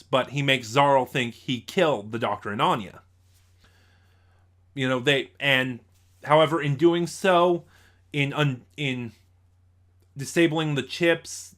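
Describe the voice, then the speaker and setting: Halting male reviewer with emphatic stresses. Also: mid-range voice, a bit bright. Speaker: reviewer and channel host. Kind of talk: casual spoken review of audio dramas.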